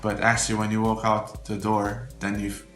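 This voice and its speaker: Low-voiced man, earnest. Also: completely flat delivery, moderate pace, a bit bright. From Romania. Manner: heartfelt, faith-filled, slightly non-native phrasing. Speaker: church member giving a testimonial. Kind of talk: earnest unscripted testimonial. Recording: roomy echo with faint background music.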